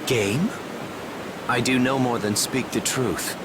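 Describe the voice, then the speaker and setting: An actor playing a proud, guarded young knight in a fantasy game, speaking in measured, formal archaic phrases. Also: male voice, mid-range voice, heavy background noise, natural voice. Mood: cool, calculating. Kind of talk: dramatic fantasy game voice acting.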